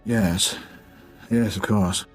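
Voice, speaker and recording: A man's voice: sits low, steady tone, very slow, husky, soft background music, exaggerated voice. An actor playing a pompous, wealthy and shady businessman who is imperious and easily panicked, in a video game. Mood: weakened, submissive. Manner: imperious, blustering.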